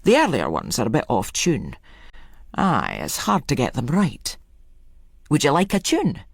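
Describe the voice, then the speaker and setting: Woman voicing an old Scottish countryman, gravelly and proud. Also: a bass voice, huge pitch swings, a little slow, no echo, clean studio sound, heavily acted. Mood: plain, obliging. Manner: folksy dialect, matter-of-fact pride. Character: old rustic male hedger, proud, eccentric asylum leaver.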